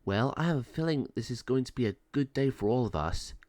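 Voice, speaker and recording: A man's voice: mid-range voice, expressive, fairly quick, darkish tone, no echo, lightly acted. A man voicing a frightened, homesick young male dog in a spoken storybook, pleading and anxious. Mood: hopeful.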